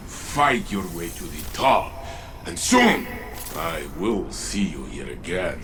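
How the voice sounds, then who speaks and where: A man's voice: sits low, big pitch swings, slow, background noise, heavily acted. A man playing a grave, proud warrior mentor in a video game, speaking in a solemn, declamatory way. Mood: proud, encouraging. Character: grave, proud male warrior mentor, rallying his fighters. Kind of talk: dramatic video game voice acting.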